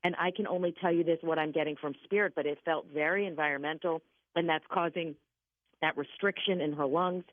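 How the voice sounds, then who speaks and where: Female call-in show medium, slightly low voice, emphatic and reassuring, heard over a narrow phone connection. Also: fairly even intonation, a little fast, no room sound. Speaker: guest spirit medium on a radio call-in show. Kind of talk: conversational psychic reading.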